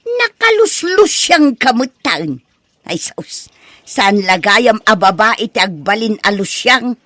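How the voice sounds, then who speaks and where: A woman's voice: on the high side, big pitch swings, takes it slowly, bright, forceful tone, dry recording, studio quality, heavily acted. A woman playing a blunt, disapproving older mother who gossips in an Ilocano comedy radio drama.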